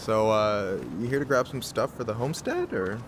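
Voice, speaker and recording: An actor playing a friendly, teasing young coworker in a video game, chatty and playfully wry. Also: male voice, medium pitch, animated, moderate pace, slight background noise, close to an everyday voice. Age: young adult. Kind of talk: naturalistic video game acting.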